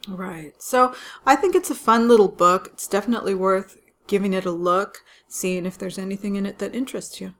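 A woman co-hosting a casual podcast while getting over a cold, agreeable and quick with short affirmations. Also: medium pitch, a bit bright. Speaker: podcast co-host. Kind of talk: casual chat and podcast outro.

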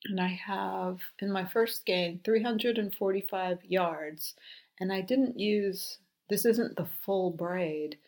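A woman with an ordinary mid-range voice chats easily as a podcast co-host. She asks friendly questions and talks through her handspun yarn in a relaxed, unhurried way. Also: mid-range voice. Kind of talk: casual chat about knitting.

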